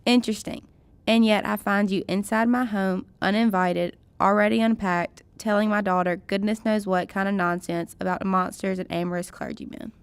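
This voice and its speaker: A woman's voice: mid-range voice, steady tone, normal speed, smooth, no room sound, close to an everyday voice. A woman playing a proud, stern mother in a radio drama, speaking in a formal, clipped and haughty way. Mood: cold, sardonic.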